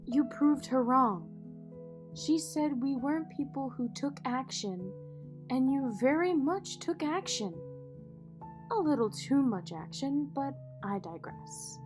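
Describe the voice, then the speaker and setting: A woman acting in an audio drama as a poised, protective, wealthy mother and executive, measured, polished and dryly witty. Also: high voice, expressive. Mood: proud, tender. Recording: music behind the voice.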